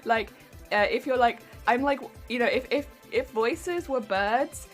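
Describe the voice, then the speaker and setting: A woman's voice: a little high, a little slow, faint music behind. A woman streamer chatting casually while she crafts, with a weak, warbly voice that is a little congested from hay fever, chatty and giggly as she greets her viewers. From United Kingdom. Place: home craft room.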